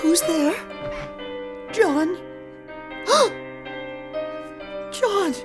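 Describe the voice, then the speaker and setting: A woman's voice: very high voice, drawn-out words, music in the background, exaggerated voice. A woman playing a fragile, haunted wife and mother in a gothic audio melodrama, breathless, tremulous and overwrought. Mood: startled yearning.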